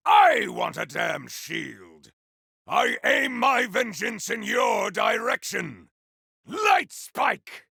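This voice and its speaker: An actor playing a bombastic, vengeful warrior hero in a video game, declaiming battle cries in a theatrical, archaic, grandiose way with a gravelly, raspy voice. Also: male voice, high-pitched, big pitch swings, drawn-out words, pushed and bright, dry recording, raised voice, studio quality, exaggerated voice. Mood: fierce, bombastic. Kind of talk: video game combat barks.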